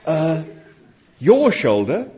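Man acting out indignant squeamish male diner, high raised voice, emphatic protests, comic storybook reading, faint noise. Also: wildly swooping pitch, slow pace, warm tone, full character voice. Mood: horrified protest. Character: horrified, indignant, squeamish male human diner, easily flustered. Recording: dull, noisy recording.

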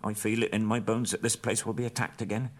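A man voicing a small timid hobbit in a high, squeaky, nervous voice. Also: flat delivery, fast, natural voice. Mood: worried.

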